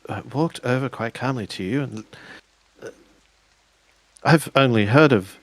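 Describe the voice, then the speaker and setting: A man playing an earnest, formal samurai in a tabletop role-playing game, speaking in measured, halting phrases. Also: mid-range voice, close to an everyday voice, poor audio. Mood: earnest, wondering.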